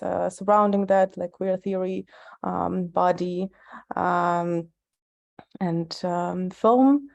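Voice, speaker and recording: Brief: woman, slow deliberate pace, lots of ums, video call. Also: mid-range voice, gravelly, a little mellow. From Russia. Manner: slow, searching with many fillers. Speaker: seminar participant.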